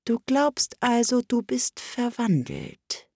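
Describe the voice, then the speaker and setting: A woman voicing a curt, stern storybook caterpillar in a languid, slow-drawling manner. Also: fairly high pitch, animated, darkish tone, no echo, studio quality, pitched above the performer's own voice. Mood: curt, commanding. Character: curt, scornful, sluggish hookah-smoking caterpillar.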